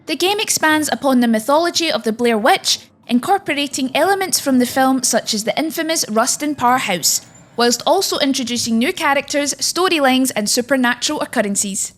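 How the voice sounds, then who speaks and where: A woman presents a scripted countdown in a high-pitched voice. She reads as a narrator guiding listeners through each entry. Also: expressive, brisk. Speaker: narrator and presenter of a video game list.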